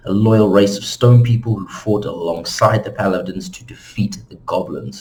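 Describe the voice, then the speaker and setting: A man's voice: sits low, a little hiss or hum, low-quality recording. A man hosting an informal explainer, talking casually and conversationally to his viewers as he narrates. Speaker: host of a game lore channel.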